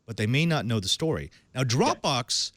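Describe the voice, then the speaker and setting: A man hosting a tech podcast, reading a sponsor spot and then welcoming and questioning a guest in a lively way. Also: mid-range voice, moderate pace, dry recording. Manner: animated, leading questions with fillers. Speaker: podcast host. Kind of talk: tech show hosting and ad read.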